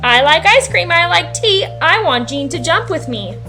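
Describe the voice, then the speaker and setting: Woman playing a little new girl, high voice, bouncy lilting intonation, sassy, playful, chanting like a jump-rope rhyme, storybook. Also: sing-song, moderate pace, bright, forceful tone, faint music behind, heavily acted. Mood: playful, inviting. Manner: sassy, sing-song. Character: tiny sassy fearless new schoolgirl, playful.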